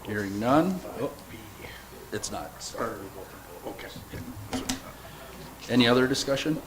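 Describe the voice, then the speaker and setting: A man serving as mayor, chairing a city council meeting in a brisk, procedural way. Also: mid-range voice, animated. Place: council chamber. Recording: noisy council chamber recording.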